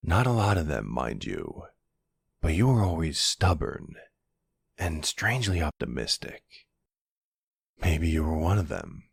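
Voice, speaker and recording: A man playing a smug, flirty vampire in an intimate ASMR roleplay, teasing and softly menacing, with a husky, drawling delivery. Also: sing-song, a little slow, pauses often, dry recording, studio quality, exaggerated voice. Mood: wistful. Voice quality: husky, gravelly, very deep. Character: flirty, smug male vampire taunting a captive hunter.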